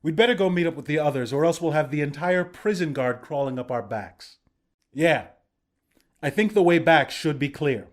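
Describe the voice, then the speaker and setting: A man's voice: fairly high pitch, normal speed, natural voice. A man playing a battle-hardened resistance commander in a video game, plain-spoken, protective and reassuring. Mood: brisk, focused. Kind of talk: video game character voice lines.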